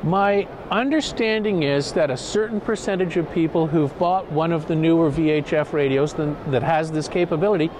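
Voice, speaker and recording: Man in his fifties, higher-pitched voice, interviewer, lapel mic, noisy background. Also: normal speed, hardly any pauses, darkish tone. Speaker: magazine editor interviewing a guest. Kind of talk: on-camera interview. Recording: clearly noisy event hall background.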